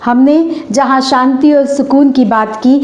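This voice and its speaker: A hoarse woman hosts her channel and recites a stage anchoring script in a formal, declamatory way, slowing down for poetic couplets. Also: sits high, a little mellow, roomy sound.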